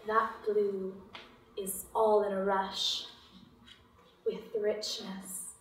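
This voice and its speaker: A woman reads poetry aloud extremely slowly, in short, deliberate phrases. She is some way from the mic in an echoey room. Her voice sits at a medium pitch. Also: flat delivery. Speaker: poetry reader. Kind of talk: slow poetry recitation. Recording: echoey room, distant mic, faint noise.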